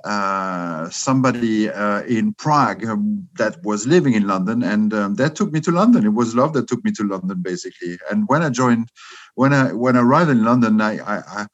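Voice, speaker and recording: Halting French man in his fifties. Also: lowish voice, expressive, muffled recording. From France. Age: 50s. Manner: halting, many restarts and fillers. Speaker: guest. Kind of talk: casual remote interview. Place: his room at home.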